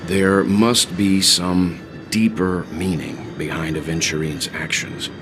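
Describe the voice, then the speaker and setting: An actor playing a composed, analytical mature man in a video game, speaking in a measured, formal way. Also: low-pitched, fairly even intonation, background noise. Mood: grave, reasoning. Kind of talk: video game cutscene acting. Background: background music.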